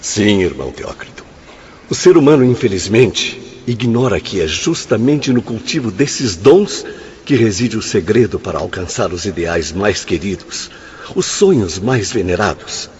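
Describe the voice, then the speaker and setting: An actor with a Brazilian accent playing an earnest spirit instructor in a radio drama, emphatic and declamatory. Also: male voice, fairly low pitch, expressive, unhurried, darkish tone, highs cut off, slight background noise. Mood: earnest, grave. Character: earnest male spirit instructor lecturing his friends gravely.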